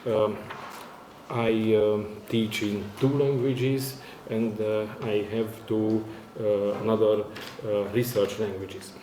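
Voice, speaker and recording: A man's voice: lowish voice, little pitch movement, slow, warm tone. A man with a Romanian accent gives a formal conference presentation in non-native English, very hesitantly, searching for words. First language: not English, which is a fourth working language. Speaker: academic conference speaker, university lecturer. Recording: echoey room, faint background noise.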